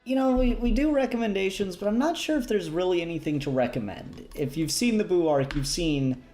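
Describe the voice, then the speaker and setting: A man's voice: high voice, fairly quick, a little mellow, soft background music. A man hosting a podcast in casual chat, easygoing and informal, with a slightly hesitant delivery. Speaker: podcast host. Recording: echoey room recording.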